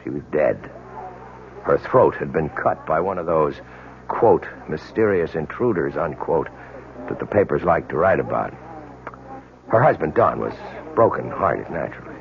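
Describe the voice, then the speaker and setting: An American actor playing a dogged, world-weary police detective in a radio drama, speaking earnestly and insistently with deliberate dramatic pauses. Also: male voice, very deep voice, lively intonation, creaky, slight background noise, full character voice. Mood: grim, wry. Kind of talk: 1970s radio drama acting. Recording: dull, lo-fi old radio drama recording.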